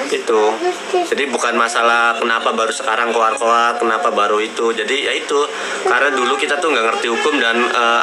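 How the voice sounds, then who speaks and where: A man chatting casually on a livestream, often repeating words as he recounts personal memories. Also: medium pitch. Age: 20s. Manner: halting, repeats words and phrases. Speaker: livestream host. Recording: noisy live stream with clear background noise.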